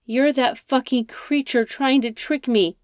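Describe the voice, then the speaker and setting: A woman's voice: high-pitched, fairly even intonation, measured pace, dry recording, studio quality, pitched above the performer's own voice. A woman narrator playing a distraught, hostile young hitchhiker in an audiobook, with an accusing, emotional delivery. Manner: shouting, tearful, accusing.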